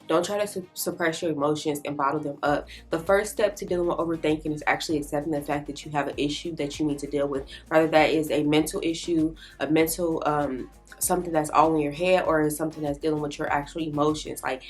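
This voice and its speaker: Young female vlogger, low register, a little congested, casual rambling advice. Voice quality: slightly nasal. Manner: rambling, filler-heavy advice. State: slightly congested from a cold. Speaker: lifestyle YouTuber. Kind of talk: casual talk to camera. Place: bedroom filming setup with ring lights. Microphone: camera in front, framed head and shoulders.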